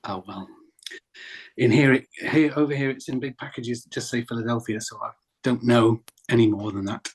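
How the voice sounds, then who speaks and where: A man's voice: medium pitch, steady tone, moderate pace, breathy edge, low-quality recording. A man competing in a quiz, answering in a casual, conversational way. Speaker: quiz contestant.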